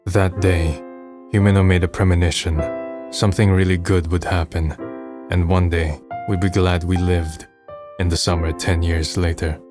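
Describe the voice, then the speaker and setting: Man acting a low-voiced, weary, cynical young man. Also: level tone, moderate pace, over music, close to an everyday voice. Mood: wistful, reflective. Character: weary, cynical young man narrating his final days. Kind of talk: fan manga dub, first-person narration and dialogue.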